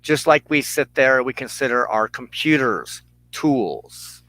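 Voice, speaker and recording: Male spiritual host, slow halting delivery, reflective, close dry sound. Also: mid-range voice, lively intonation, pushed and bright, dry recording, low-quality recording. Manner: halting, deliberate phrasing.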